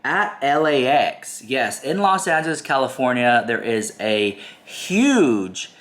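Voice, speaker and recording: A man's voice: medium pitch, very animated, slow, fairly bright tone. A man with a stuffy cold, chatting to viewers in a gossip commentary video and repeating himself for emphasis. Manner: chatty, addresses viewers directly, emphatic repetition. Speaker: YouTube commentary host. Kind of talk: casual gossip commentary to camera.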